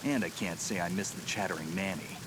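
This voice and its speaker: A man voices a gruff middle-aged stealth soldier in a video game, low-pitched and clipped. He keeps every line short, dry and understated over a radio call. Heavy rain noise sits behind him. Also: fairly even intonation, fairly quick, lightly acted.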